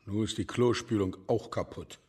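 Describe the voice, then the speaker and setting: A man's voice: fairly low pitch, steady tone, normal speed, a little mellow, lightly acted. A man playing a terse, grumbling older loner in a German children's radio play. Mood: dry, matter-of-fact. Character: grumbling, laconic older man living alone, Herr Burczik.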